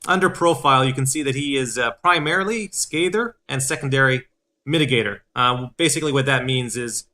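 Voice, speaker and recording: A man in his thirties hosting a game walkthrough, explaining things in a halting way. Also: medium pitch, pushed and bright. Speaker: video series host. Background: game music.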